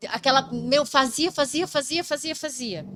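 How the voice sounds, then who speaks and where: A woman's voice: high voice, normal speed. A woman speaking as a guest on an informal podcast, in an emphatic, assertive way. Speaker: podcast guest, author and publisher.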